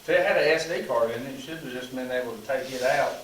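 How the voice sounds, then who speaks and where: A hoarse man, a pastor casually leading a Bible study, talking in rambling sentences full of "you know". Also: mid-range voice, quick pace, fairly bright tone, a little hiss or hum. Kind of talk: casual teaching and group discussion. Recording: echoey room, mic at a distance.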